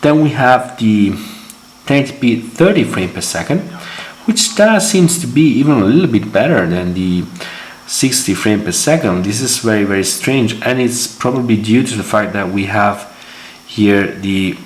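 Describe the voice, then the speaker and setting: A man with a fairly low voice presents a gadget hands-on, clearly speaking English as a second language. He is wordy and halting, repeating words as he explains. The room is very echoey, with faint noise behind him. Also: animated. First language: not English. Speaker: tech reviewer, host of a gadget channel. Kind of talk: hands-on product demo, unscripted.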